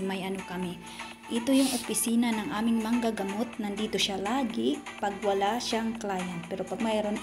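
A woman in her thirties from the Philippines, vlogging casually about her clinic workday, chatty and rambling, filmed on a phone held at arm's length in a small clinic room. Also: mid-range voice. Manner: chatty, rambling, repeats herself. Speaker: vlogger and slimming machine operator. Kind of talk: casual vlog narration.

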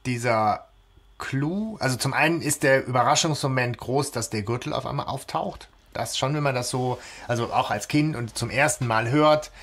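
A man's voice: medium pitch, moderate pace, fairly bright tone. A man co-hosting a podcast, talking informally in a casual discussion. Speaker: podcast co-host.

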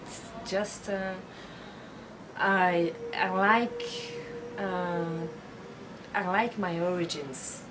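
A woman's voice: on the low side, fairly even intonation, extremely slow. A woman in her late twenties, born in France with Brazilian and Belgian parents, answering interview questions thoughtfully with many fillers. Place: bedroom with bookshelves, indoors. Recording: clear background noise.

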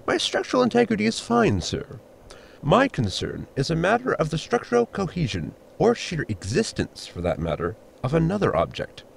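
An actor playing a dry, dutiful ship robot servant with a formal, precise, deadpan manner in a sci-fi comedy audio drama. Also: male voice, fairly high pitch, normal speed, vocal fry, slight background noise, full character voice.

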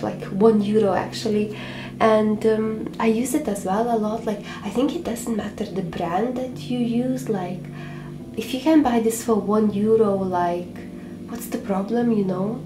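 A woman's voice: medium pitch, a little slow, noisy background. Hesitant young woman vlogging, roomy sound. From Lithuania. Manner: hesitant, many fillers and restarts. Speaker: beauty vlogger. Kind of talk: casual chat to camera. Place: small home room, indoors. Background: soft background music.

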